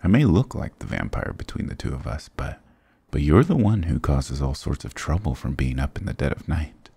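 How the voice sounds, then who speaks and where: Very deep, gravelly flirty goth guy, acted by a man. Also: sing-song, moderate pace, mellow, no room sound, clean studio sound, heavily acted. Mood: teasing, curious. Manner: teasing, affectionate, mock-dramatic. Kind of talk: intimate ASMR roleplay acting.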